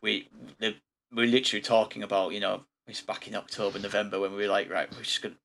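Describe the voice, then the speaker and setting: A man leads a laid-back podcast conversation. His voice sits on the low side, and his rambling delivery is dotted with ums. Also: quick pace, gravelly, a little hiss or hum. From England. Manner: relaxed and rambling, with frequent ums. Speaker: podcast host. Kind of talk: casual podcast chat.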